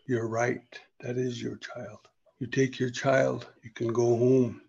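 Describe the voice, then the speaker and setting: A man's voice: mid-range voice, little pitch movement, takes it slowly, vocal fry, darkish tone, slightly rough, dull audio, full character voice. A man voicing an angry, commanding male buffalo chief in a storytelling session, with stern, declarative speech. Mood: grudging.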